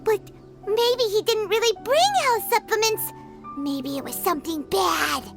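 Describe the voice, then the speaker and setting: A woman voicing a tiny, chirpy and nosy female fairy companion in a video game, excitable and gasping as she talks. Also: very high voice, unhurried, gravelly, heavily acted. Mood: curious. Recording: game audio with loud music underneath. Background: game music.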